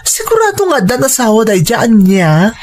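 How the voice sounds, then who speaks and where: A woman playing an excitable, cautioning best friend in a Filipino audio drama, exclaiming and admonishing dramatically. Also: on the high side, moderate pace. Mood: concerned, warning.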